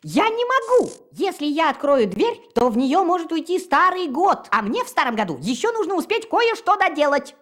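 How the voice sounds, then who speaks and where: A woman voicing a stubborn, hyperactive young boy rabbit in a cartoon, talking in a hurried, emphatic way. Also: very high voice, very animated. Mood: flustered. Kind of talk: animated cartoon acting.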